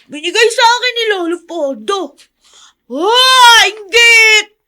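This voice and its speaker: A little Filipino boy who loves to taunt and brag. The voice is extremely high and sharp, with a sing-song teasing delivery made for radio drama. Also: lively intonation, slow pace, full character voice. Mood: gloating, teasing. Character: taunting, boastful little boy, a playground tease.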